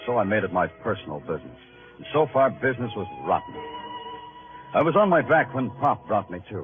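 An actor playing a wry, hard-boiled New York police detective in a vintage radio crime drama, with an American accent and clipped delivery. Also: male voice, mid-range voice, big pitch swings, fairly quick, close to an everyday voice. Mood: wry, world-weary. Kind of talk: 1950s radio crime drama acting, first-person narration. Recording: muffled vintage radio recording with music.

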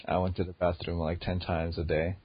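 Low-voiced young man, dry lo-fi sound. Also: level tone, fairly quick, breathy edge, no echo. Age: 20s. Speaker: podcast co-host. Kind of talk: casual chat.

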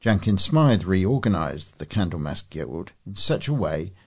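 Deep, husky male newsreader. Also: big pitch swings, unhurried. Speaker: volunteer newsreader for a local talking newspaper. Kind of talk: scripted news reading.

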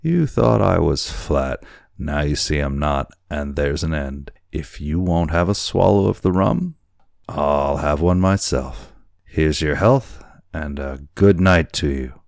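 A man's voice: a bass voice, takes it slowly, creaky, darkish tone, dry recording, clean studio sound, heavily acted. A man voicing a brash, drunken boatswain, suspicious and threatening, with blustering colloquial talk in a storybook reading. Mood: drunken, defiant.

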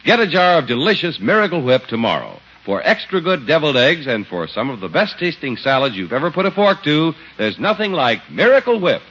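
A man's voice: a little high, sing-song, fairly quick, hardly any pauses, fairly bright tone, slightly rough. A man announcing a scripted 1950s radio show and its sponsor's message. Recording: dull 1950s radio recording. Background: music.

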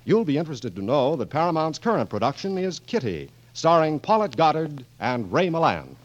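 Animated 1940s male radio announcer. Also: a little high, moderate pace. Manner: polished, salesmanlike. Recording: muffled 1940s radio broadcast.

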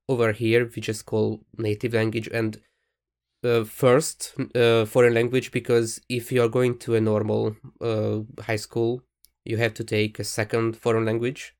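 Hungarian-accented male podcast co-host, monotone, thoughtful, searches for words. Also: medium pitch. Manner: thoughtful, restarts sentences while searching for words. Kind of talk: casual chat.

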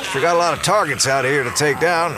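A man playing a steady, folksy small-town sheriff in a comedic zombie audio drama, halting and earnest. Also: a little high, background noise, lightly acted. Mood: relieved, instructive. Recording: music behind the voice.

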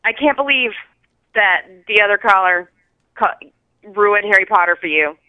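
A woman's voice: mid-range voice, lively intonation, normal speed, smooth, no echo. Woman caller on a tinny phone line. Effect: telephone. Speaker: caller leaving a listener message. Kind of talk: casual chat. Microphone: phone call.

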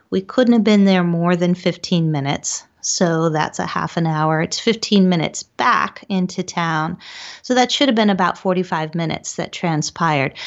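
Female interview guest telling first-hand stories, fairly low pitch, slightly dulled recording. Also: gravelly, dull audio. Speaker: interview guest, paranormal researcher. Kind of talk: recounting personal paranormal experiences.

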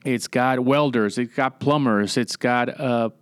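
A man's voice: mid-range voice, measured pace, clean tone, no room sound, studio quality. A middle-aged man from Puerto Rico, a naval officer giving matter-of-fact explanations as an interview guest. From San Juan, Puerto Rico. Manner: matter-of-fact, military briefing phrasing.

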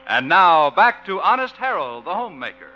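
A male 1950s radio announcer reading scripted narration in a fairly high, bright voice. Some music plays behind him, and the old recording is slightly dull. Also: very animated, moderate pace.

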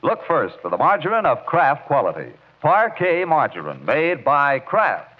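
Animated 1940s radio announcer, thin sound. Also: male voice, fairly high pitch, normal speed, narrow, tinny audio, heavy background noise. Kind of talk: 1940s scripted broadcast announcing.

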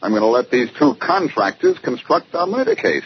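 An actor playing a confident, wry amateur detective, brisk and probing, in 1940s radio style. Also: male voice, medium pitch, sing-song. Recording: muffled, noisy old-time radio recording.

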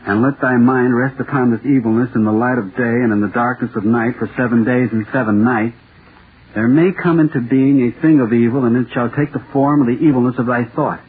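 An actor playing a haunted horror playwright who stammers and repeats himself, in 1930s radio drama style. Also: male voice, mid-range voice, a little fast, breathy edge, narrow, tinny audio. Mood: dramatic recitation. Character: terrified radio playwright haunted by his own monster. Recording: scratchy, noisy 1930s radio recording.

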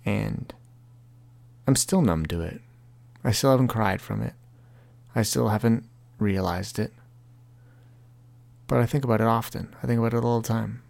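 Young male podcast host, gravelly, low-pitched, earnest and confessional, reads his own writing. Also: moderate pace, pauses often, a little mellow. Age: 20s. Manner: earnest, confessional, heavy language. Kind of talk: reflective monologue reading from his book.

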